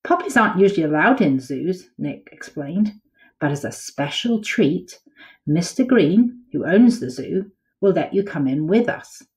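A woman's voice: on the low side, lively intonation, unhurried, a little mellow, low-quality recording. A woman voicing a friendly young boy in a children's storybook, explaining plainly.

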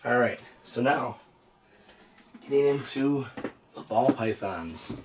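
A hoarse man in his thirties, a reptile breeder hosting his own channel, chatting easygoingly with lots of "uh" and "so". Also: mid-range voice, lively intonation, slow pace, recorded from a distance, noisy background. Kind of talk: casual show-and-tell vlog. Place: indoor reptile room with storage drawers.

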